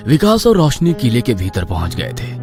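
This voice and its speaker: A man's voice: medium pitch, huge pitch swings, normal speed, music in the background. A man narrating a horror story in a theatrical, suspenseful manner.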